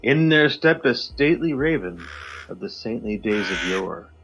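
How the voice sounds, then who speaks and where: A man co-hosting a podcast, giving a theatrical poetry reading that swings between loud highs and hushed drops. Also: expressive, normal speed. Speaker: podcast co-host. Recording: slightly muffled with faint music.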